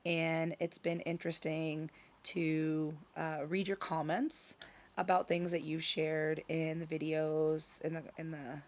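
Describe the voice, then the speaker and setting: A woman hosting her own vlog in casual chat, a little hoarse from a scratchy throat, talking with frequent ums and self-corrections. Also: low voice, flat delivery, slow. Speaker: channel host. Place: her home in Sicily.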